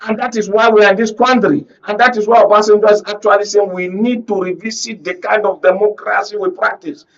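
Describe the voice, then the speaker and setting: A man's voice: high voice, little pitch movement, fairly quick, gravelly, few pauses. A man with a Nigerian accent speaking emphatically as a guest analyst on a political panel, often posing rhetorical questions. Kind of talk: live panel discussion, political commentary. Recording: dull lo-fi broadcast audio.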